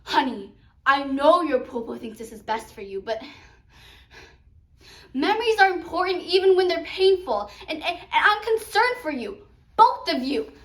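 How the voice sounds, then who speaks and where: Worried mother, acted by a woman: high voice, emotional, insistent, halting delivery, dramatic monologue. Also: big pitch swings, fairly bright tone. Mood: concerned, pleading. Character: worried, pleading mother confronting her daughter.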